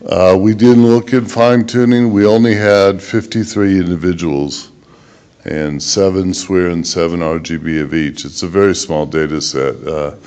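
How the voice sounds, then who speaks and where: Very deep-voiced man presenting research. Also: steady tone, moderate pace, a little mellow, clear, resonant tone. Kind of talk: conference presentation, then answering questions.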